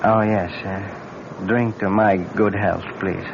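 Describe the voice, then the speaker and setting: An actor playing a grave, courteous young foreign prince in a radio drama, with formal, measured phrasing. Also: male voice, low-pitched, fairly even intonation, gravelly, heavily acted. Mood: guarded. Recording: dull, noisy old radio.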